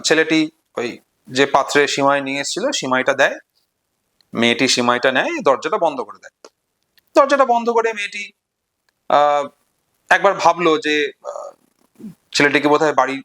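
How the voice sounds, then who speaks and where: Higher-voiced man explaining a story. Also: fairly bright tone. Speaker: literature explainer. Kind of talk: explanatory retelling of a short story, unscripted.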